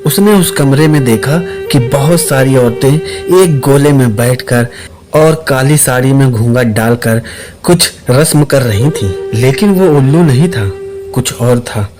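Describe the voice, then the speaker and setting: Man, medium pitch, storyteller, scripted suspense narration, clear music underneath. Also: a little slow. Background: background music.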